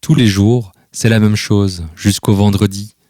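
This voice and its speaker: A male storyteller reads a scripted children's tale in a fairly low voice. The recording is very dry, with light music playing behind him. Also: steady tone, unhurried, dark tone, no echo. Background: instrumental music.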